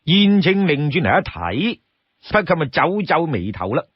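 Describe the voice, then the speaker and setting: Animated Cantonese male storyteller, slightly husky. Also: fairly high pitch, measured pace, dry recording, lo-fi sound. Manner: expressive, rhetorical questions to listeners. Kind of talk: dramatic audiobook-style story narration.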